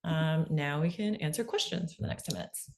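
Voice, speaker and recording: A woman's voice: low-pitched, brisk. A woman giving an informal workshop talk and answering questions, encouraging, with lots of "like" and "right?" in her speech. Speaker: presenter answering audience questions.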